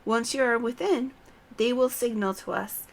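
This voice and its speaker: A woman voicing a resolute female knight commander in a fantasy game, formal and measured as she briefs her troops. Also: fairly high pitch, vocal fry. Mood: resolute, commanding. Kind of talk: read-aloud fantasy game dialogue.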